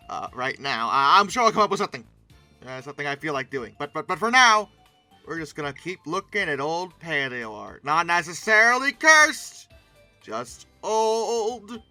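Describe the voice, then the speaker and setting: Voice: medium pitch, bright, forceful tone. A commentator talking casually off the cuff about pictures, with a theatrical, mock-dramatic way of emphasizing things. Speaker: host of a paleoart commentary channel.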